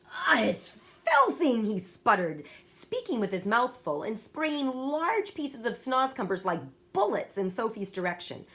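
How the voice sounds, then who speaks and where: A woman reading a children's story aloud as scripted, expressive narration. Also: a little high. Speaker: storybook reader.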